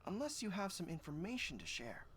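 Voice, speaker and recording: Composed, formal army general. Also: male voice, high voice, huge pitch swings, noisy background. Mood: guarded. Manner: measured, formal, authoritative.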